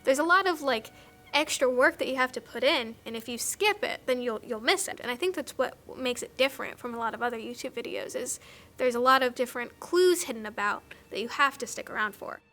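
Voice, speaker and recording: A woman's voice: a little high, lively intonation, a little fast, pushed and bright. A woman talking casually and enthusiastically in a conversational, interview-style commentary. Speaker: documentary interviewee, filmmaker.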